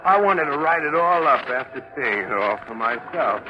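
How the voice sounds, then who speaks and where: A man's voice: medium pitch, animated, normal speed, noisy background, lightly acted. An actor playing a weary, skeptical, plain-spoken first mate telling his tale in a 1940s radio mystery. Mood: weary, puzzled. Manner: plain-spoken, slangy storytelling. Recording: muffled 1940s radio recording.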